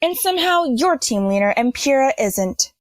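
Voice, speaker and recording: A woman's voice: sits high, big pitch swings, moderate pace, dry recording. A woman playing a haughty, dry-witted rich young student who scolds sardonically in an audio drama. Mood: exasperated, scolding.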